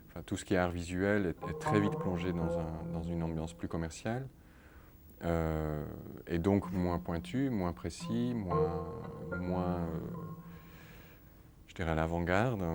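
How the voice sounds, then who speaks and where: Halting deep-voiced man, music behind. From Netherlands. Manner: halting, many repeated words and fillers. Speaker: artist interviewee. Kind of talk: hesitant interview answers.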